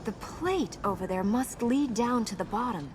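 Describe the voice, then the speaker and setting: An actress playing a serious, guarded young princess in a video game, explaining earnestly and answering with dry wit. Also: female voice, on the high side, animated, normal speed, background noise, lightly acted.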